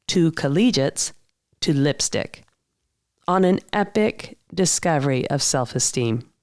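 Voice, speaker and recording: Upbeat woman podcast host, lively pitch, dry close mic, tosses in Spanish words. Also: no echo. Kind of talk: scripted intro then interview.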